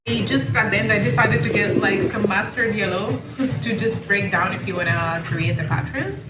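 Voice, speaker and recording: Mujer sudanesa, amable, lejos del micrófono. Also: female voice, medium pitch, moderate pace. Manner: gentle, encouraging. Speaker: artist leading a painting session. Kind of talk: casual instruction to a group. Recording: echoey room, distant mic, loud music.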